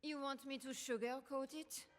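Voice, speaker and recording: A woman's voice: high voice, fairly even intonation, moderate pace. An actress playing a proud, stern noblewoman duelist with a thick foreign accent and a haughty, declamatory manner, as video game voice lines. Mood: scornful. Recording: loud music behind.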